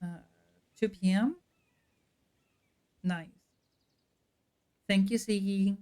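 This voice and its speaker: A woman streamer talks to her chat in a friendly, relaxed way. Her voice is dark and mellow. She speaks slowly and takes her time. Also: medium pitch. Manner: friendly and unhurried, chatting directly to chat. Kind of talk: casual chat with stream viewers while playing.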